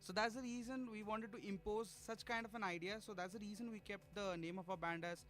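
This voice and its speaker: A young man from Bangladesh, a student synth player, answers interview questions in a friendly way. He laughs lightly and leans on fillers. His voice runs fairly high, with soft music behind. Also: steady tone, fast talker. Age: young adult. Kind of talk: radio interview guest.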